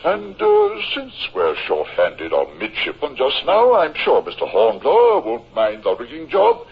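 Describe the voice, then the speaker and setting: An actor playing a senior naval captain in a 1950s radio drama, urbane, wry and used to command. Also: male voice, mid-range voice, huge pitch swings, a little slow, creaky, slightly rough, full character voice. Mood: wry teasing. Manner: urbane, teasing, swooping emphasis. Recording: muffled old radio recording.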